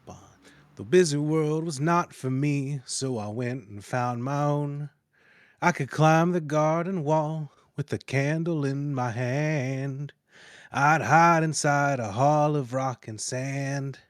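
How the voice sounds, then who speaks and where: A man playing a reclusive, defensive hermit in a table read, hesitant and halting in his delivery. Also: on the high side, dry recording, studio quality. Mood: wistful, halting singing. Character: reclusive, defensive ancient man hiding in a cave.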